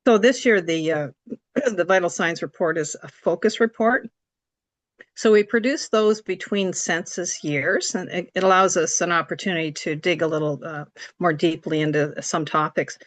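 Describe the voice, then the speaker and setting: A woman's voice: fairly low pitch, normal speed, no room sound. Scratchy-voiced woman presenting, close dry sound. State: hoarse from allergies. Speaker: report coordinator, presenter. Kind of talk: informal explanatory presentation. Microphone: video call.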